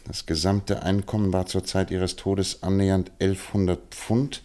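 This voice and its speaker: Shrewd detective, low-pitched voice, composed, analytical, wryly ironic, measured delivery, radio play. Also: male voice, little pitch movement, dark tone.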